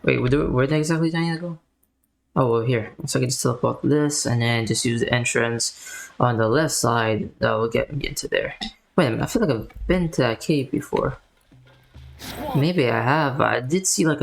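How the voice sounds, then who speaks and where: A man's voice: mid-range voice, moderate pace, vocal fry. A man giving casual gameplay commentary, rambling and thinking aloud as he plays. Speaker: game streamer.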